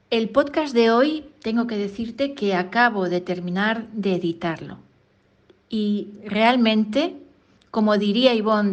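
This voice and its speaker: A woman presenting a podcast informally, addressing the listener directly in a personal, somewhat hesitant way. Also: medium pitch.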